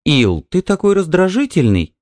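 Man voicing a high-pitched, timid, placating wife. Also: big pitch swings, moderate pace, darkish tone, dry recording. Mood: nervous, pleading. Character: timid, evasive young wife, placating her husband.

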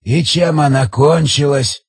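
A man voicing an ancient, frightened underground elder in an audiobook, speaking in slow, hushed, halting phrases. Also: on the high side, gravelly, husky, no echo, studio quality, heavily acted. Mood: shaken.

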